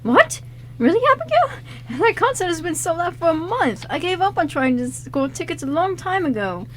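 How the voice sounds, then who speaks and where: A woman's voice: very high-pitched, big pitch swings, normal speed, a bit bright, exaggerated voice. A woman voicing a nervous teenage boy with a crush in an amateur radio play, stammering and flustered. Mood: surprised, curious. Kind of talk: amateur radio script reading.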